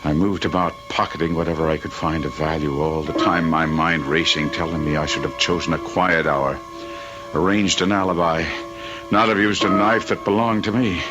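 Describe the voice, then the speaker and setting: A man's voice: low voice, steady tone, moderate pace, dull audio, over music, lightly acted. An actor playing a tormented, desperate murderer who narrates his own crime, with brooding, theatrical intensity in an old radio drama style. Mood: haunted, tense. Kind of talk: old-time radio drama acting, first-person narration.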